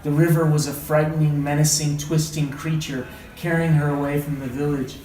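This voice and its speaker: A man reading a story aloud as a teacher, with dramatic, deliberate phrasing and questioning asides. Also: a little high, level tone, dark tone. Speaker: read-aloud teacher and trainer. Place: indoor room, training session. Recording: echoey room, distant mic, background noise.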